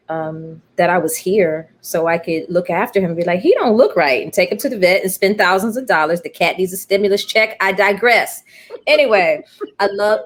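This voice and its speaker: A middle-aged American woman speaking reflectively and emphatically in a personal conversation, pausing and repeating phrases for weight. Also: medium pitch. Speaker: interview guest, playwright.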